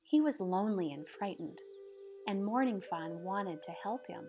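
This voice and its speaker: A woman reading a children's picture book aloud in a measured, dramatic storytelling manner. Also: mid-range voice, expressive, darkish tone. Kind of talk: expressive scripted storybook narration.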